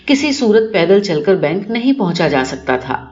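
A woman reading a short story aloud in an expressive, scripted narration style. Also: medium pitch. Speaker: audiobook narrator. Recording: muffled, roomy recording with music behind. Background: music.

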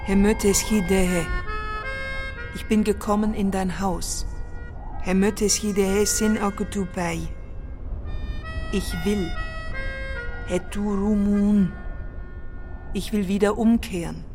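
A woman reciting short, broken phrases deliberately, like verse, in a radio play. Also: medium pitch, fairly even intonation, over music.

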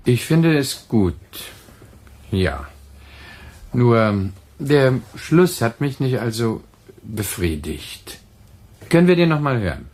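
An actor playing a fussy, cheerful radio drama editor, talking in a conversational, deliberating way in a radio play. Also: male voice, mid-range voice, sing-song, slow, pauses often, darkish tone. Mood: musing. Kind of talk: naturalistic German radio play dialogue.

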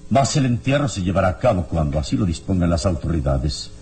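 An actor playing a calm, unflappable heroic adventurer in a radio drama, speaking in a measured, polite and firm way. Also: male voice, sits low, slight background noise. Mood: firm, composed.

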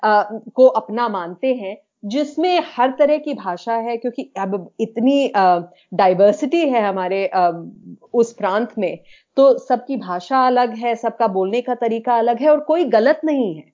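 An Indian woman co-hosting a podcast in a conversational, thoughtful way, often pausing to find her words. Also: on the high side, lively intonation, a little mellow. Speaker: podcast co-host. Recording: dull, lo-fi audio.

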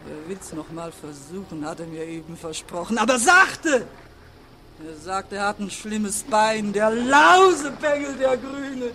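A woman's voice: medium pitch, unhurried, pushed and bright, slight background noise. An actress playing a river as an earthy, scolding, motherly old woman in a 1940s radio drama. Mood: commanding. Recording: vintage 1940s radio recording.

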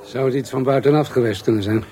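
An actor playing a pondering, authoritative police commissioner in a radio drama, terse and husky. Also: male voice, mid-range voice, quick pace, warm tone, soft background music, natural voice. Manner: musing, terse.

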